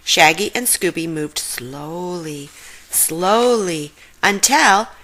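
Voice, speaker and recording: Animated woman reading a children's story. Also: on the low side, slow.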